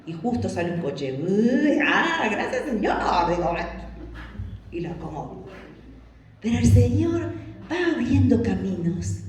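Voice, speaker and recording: Argentine lady preacher, acts out little scenes, warm voice, big churchy echo. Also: medium pitch, slight background noise. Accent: Rioplatense Spanish. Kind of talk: sermon. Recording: echoey church hall.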